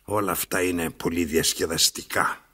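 A man's voice: sits low, steady tone, bright, forceful tone, slightly rough, exaggerated voice. An actor playing an imperious, querulous old man in radio theatre, theatrical and demanding, repeating himself. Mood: weary, brooding.

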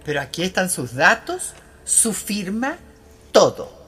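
An actress playing a formal, unyielding saleswoman in a radio drama, speaking with insistent, deliberate emphasis. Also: lowish voice, big pitch swings, fairly bright tone, slightly rough, heavily acted. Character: unyielding, formal office saleswoman of burial vaults.

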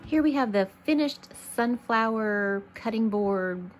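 A woman's voice: on the high side, expressive, unhurried, over music, poor audio. A woman from Texas hosting a casual crafting tutorial, chatty and thinking aloud as she works. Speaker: craft channel host.

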